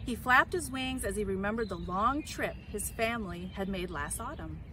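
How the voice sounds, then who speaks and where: A woman reading a children's storybook aloud in an expressive, emphatic way. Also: medium pitch, moderate pace. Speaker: story reader. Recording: clear background noise.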